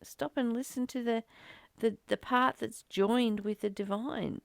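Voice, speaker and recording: A woman's voice: fairly high pitch, fairly even intonation, a little slow, gravelly, no echo, studio quality. A woman talking casually in a spiritual study discussion, rambling conversationally and often searching for words. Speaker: psychic healer leading a spiritual book study. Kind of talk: casual spiritual discussion with some read-aloud passages.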